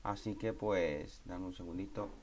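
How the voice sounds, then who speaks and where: Low-voiced man explaining software setup. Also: measured pace, a little hiss or hum. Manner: explanatory, with frequent "¿vale?" and "¿no?" check-ins and self-corrections. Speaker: astronomy equipment instructor. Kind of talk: screen-recorded software tutorial.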